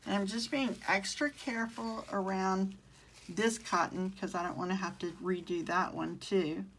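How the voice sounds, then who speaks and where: Bright-voiced Southern woman demonstrating crafts. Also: medium pitch, steady tone, normal speed, slight background noise, low-quality recording. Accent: Southern American. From southern United States. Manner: chatty, talks to viewers and answers their comments. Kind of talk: casual live craft tutorial.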